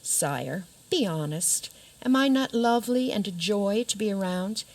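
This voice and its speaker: A woman acts out a vain storybook princess for a radio comedy. Her voice sits at a middle pitch. She is haughty and indignant, pressing her grievances formally. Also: lively intonation, unhurried. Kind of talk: comic community radio theater.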